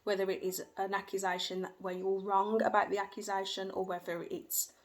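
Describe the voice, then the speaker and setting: A woman's voice: medium pitch, little pitch movement, brisk. A woman giving a tarot reading at home, who hedges, repeats herself and goes by what she feels, with her children faintly heard in the background. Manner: hedging, repeats phrases, speaks by feeling. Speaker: tarot reader. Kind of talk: tarot card reading for viewers. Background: faint noise of her young children.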